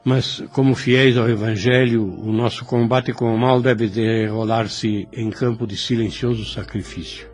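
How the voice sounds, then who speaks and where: A man's voice: mid-range voice, fairly even intonation, moderate pace, few pauses, faint music behind, natural voice. A Brazilian actor playing a kindly, ailing elderly priest in an audio drama, solemn, fatherly and formal. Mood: fatherly gravity. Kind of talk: Brazilian radio drama acting.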